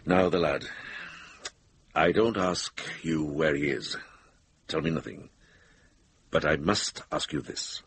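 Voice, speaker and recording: An actor playing a shrewd, brisk middle-aged monk investigator in a radio drama, measured and direct. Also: male voice, a bass voice, fairly bright tone, a little hiss or hum, exaggerated voice. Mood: earnest, urgent. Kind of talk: British radio drama acting.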